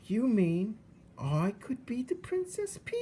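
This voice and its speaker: A man voicing a naive, excitable young servant girl in a storybook, exclaiming in loud, rustic, ungrammatical speech. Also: high voice, takes it slowly, a little hiss or hum, pitched above the performer's own voice, full character voice. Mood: eager. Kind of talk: storybook character voice.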